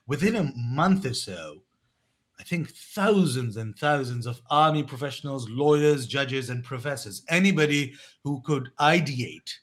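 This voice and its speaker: A man's voice: medium pitch, a bit bright. Guy in his 30s telling his story on a podcast, reflective, keeps restarting sentences. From born in Pakistan, raised in England. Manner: reflective, measured, with many restarts. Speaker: guest, education company co-founder.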